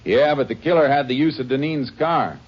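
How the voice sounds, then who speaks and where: An actor playing a determined Texas Ranger who narrates in a terse, clipped first-person style, in a 1950s radio drama. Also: male voice, mid-range voice, brisk, slightly rough, close to an everyday voice. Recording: dull old radio broadcast with music.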